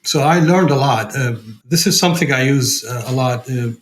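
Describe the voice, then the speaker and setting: A man's voice: medium pitch, normal speed, slight background noise. A man from Iraq with an Iraqi accent, talking conversationally as a podcast guest and searching for his words. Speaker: podcast guest, program director. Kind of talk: conversational personal account.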